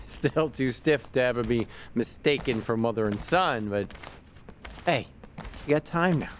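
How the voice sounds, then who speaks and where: A man's voice: mid-range voice, unhurried, a little hiss or hum, close to an everyday voice. An actor playing a streetwise, casual male sidekick, sceptical but helpful, in a video game. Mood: frank, casual.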